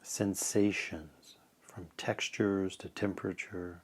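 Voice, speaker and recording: A man leading a guided meditation in a calm, measured way, letting phrase ends trail off softly. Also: sits low, husky.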